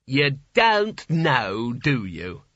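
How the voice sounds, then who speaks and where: A man voicing a pompous, irritable wizard ringleader in an audiobook, bossing people about briskly and with exasperation. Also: fairly high pitch, big pitch swings, bright, forceful tone, no echo, highs cut off, pitched above the performer's own voice, full character voice. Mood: snapping.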